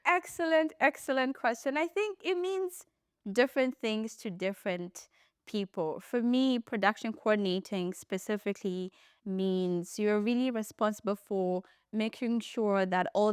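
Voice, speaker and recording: A woman's voice: mid-range voice, clean tone, dry recording, clean studio sound. A young woman from Tanzania, a guest on a podcast, chats easily and laughs often as she explains her film work. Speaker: podcast guest, production coordinator and playwright. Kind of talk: casual interview chat.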